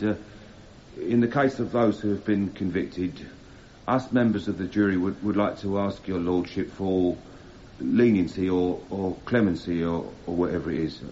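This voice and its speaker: Hesitant, plain-spoken foreman, radio drama: deep voice, fumbling delivery, muffled recording. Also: dark tone, slight background noise. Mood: nervous, humble. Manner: halting, fumbling for words. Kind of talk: naturalistic radio drama acting.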